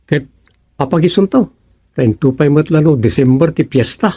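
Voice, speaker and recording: A man plays a talkative village schemer in a radio comedy, speaking colloquial Ilocano-accented lines. The voice sits a little high, husky and full of gravel. The sound is close and dry. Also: moderate pace, mellow, no room sound. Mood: eager, chatty. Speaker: radio drama actor. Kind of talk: comedic radio serial dialogue.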